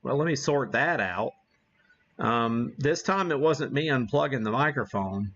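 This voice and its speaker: A man's voice: mid-range voice, expressive, a little slow, fairly bright tone, highs cut off. A man hosting a ham radio livestream, chatting casually in a rambling, self-deprecating way as he demonstrates. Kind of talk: casual live-stream chat and demonstration.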